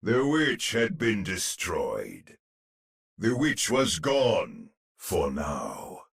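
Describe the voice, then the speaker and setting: Grim game warrior, super raspy gravelly voice, lowish, dramatic and stern. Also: male voice, wildly swooping pitch, drawn-out words, exaggerated voice. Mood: solemn, grim. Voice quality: gravelly, husky. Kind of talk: video game voice lines.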